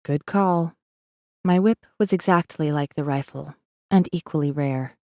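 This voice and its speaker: Guarded rebel heroine, very low mellow voice, dry studio sound, intimate first-person audiobook narration, sardonic edge. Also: female voice, measured pace, no echo. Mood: guarded, brooding. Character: guarded, wry rebel woman messenger narrating her own story.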